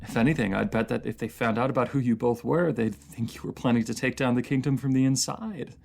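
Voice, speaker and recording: A voice actor playing a bitter, wounded fantasy ruler in an emotional dramatic monologue, halting and speaking directly to one listener. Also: mid-range voice, vocal fry, darkish tone. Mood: bitter irony.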